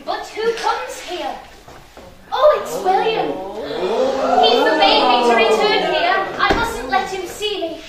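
A woman's voice: extremely high pitch, big pitch swings, a little slow, a loud crowd, exaggerated voice. An actress playing a wronged young village woman in a stage melodrama, speaking in a wailing, exclamatory way with sighs from a small theatre stage. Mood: lamenting. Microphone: far camera in audience. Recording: echoey hall, far-off mic, background noise.

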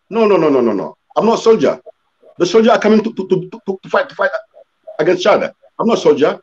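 An older man talking as a panel guest on a video call, halting, with many restarts and "you know" as he explains. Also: high voice, a little mellow. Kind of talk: casual discussion on a video call. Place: room indoors. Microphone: laptop webcam video call. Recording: slightly dull video-call audio.

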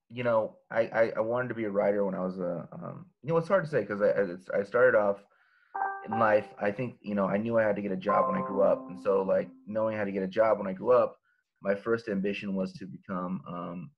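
Rambling guy on a webcam call, low-ish voice, talks quick, dull lo-fi sound. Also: fairly even intonation, vocal fry, husky, faint music behind. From Los Angeles. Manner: rambling, laid-back, many fillers and restarts. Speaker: author guest. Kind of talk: casual interview over video call. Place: living room at home. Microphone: laptop webcam video call. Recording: lo-fi video call audio.

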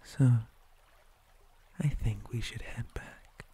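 A man voicing a possessive, menacing spider monster captor in an ASMR roleplay, mostly in a breathy whisper with deliberate, theatrical menace. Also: mid-range voice, steady tone, warm tone. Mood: controlling.

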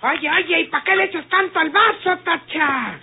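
An actress playing a bossy, sharp-tongued rural Mexican woman in an old radio soap, scolding with emphasis. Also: extremely high pitch, normal speed, a little hiss or hum. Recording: tinny band-limited old radio drama.

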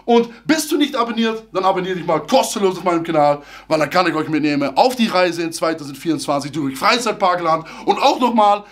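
A man in his thirties talks straight into a close camera in a small room, with a fairly high voice. He sounds animated and chatty, and his grammar slips now and then. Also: a little fast, hardly any pauses. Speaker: theme park YouTuber. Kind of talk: casual vlog talk to camera. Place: small room full of fan merchandise, indoors. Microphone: camera at close range.

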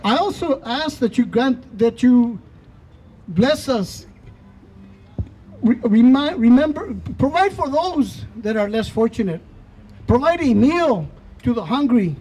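A man leading a solemn outdoor prayer in a slow, measured way, speaking into a handheld mic. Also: very high voice, expressive, darkish tone, slightly rough, poor audio. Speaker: prayer leader. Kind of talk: spoken prayer. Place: outdoors in a park.

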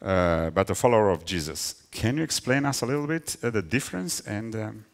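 A middle-aged man with a Romanian accent, a native Romanian speaker, interviewing on stage in halting English, searching for words. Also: on the low side, animated, long reverb tail. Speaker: host, interviewer. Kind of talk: stage interview before an audience. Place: large hall stage, indoors. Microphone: headset.

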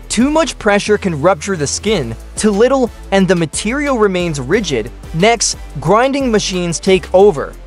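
Lively high-voiced male documentary narrator. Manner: steady explanatory delivery in short listed phrases. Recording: voice-over with faint background music.

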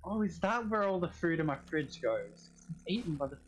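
A young man from the United States streams a game and banters with friends, swearing and mimicking people with animated delivery. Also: a little high, brisk, a little hiss or hum, rough recording. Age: young adult. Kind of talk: casual banter.

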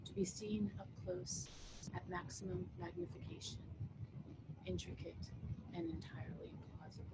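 A middle-aged American woman poet giving a reading of her work, with measured, deliberate phrasing. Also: on the low side, steady tone. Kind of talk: poetry reading with casual introductions. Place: book-lined wood-panelled room at home, indoors. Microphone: computer mic on video call. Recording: very noisy video-call audio.

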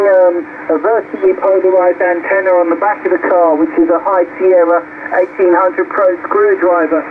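A man's voice: high-pitched, narrow, tinny audio. A man making amateur radio contact and reading phonetic call signs, heard over shortwave radio. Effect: received over shortwave radio. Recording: noisy band-limited shortwave radio reception. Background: radio static.

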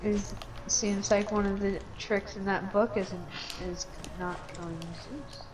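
A woman voicing a goat who calls himself a lightning god, with a slow, drawn-out delivery that drops quiet at times, in an amateur game machinima. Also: medium pitch, steady tone, noisy background, natural voice. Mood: defiant. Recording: game sounds behind the voice.

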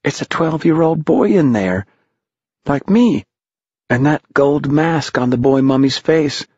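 A man's voice: a little high, lively intonation, unhurried, warm tone, husky, dry recording, highs cut off, voice pushed up, full character voice. A man voicing an earnest, anxious twelve-year-old adventurer in a storybook, urgent and exclaiming. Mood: startled.

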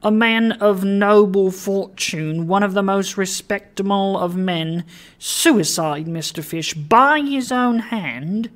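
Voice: medium pitch, fairly even intonation, takes it slowly. A reader voicing a pompous, pious male alderman in a storybook, exclaiming with self-important, repetitive phrasing. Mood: shocked. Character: pompous, pious city alderman, gentleman, effusively sanctimonious. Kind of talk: dramatised audiobook of a classic story.

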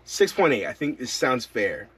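A man in his thirties, a van-life vlogger, chatting casually to camera inside his camper van in a relaxed, rambling way with lots of fillers. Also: mid-range voice, normal speed, slightly rough.

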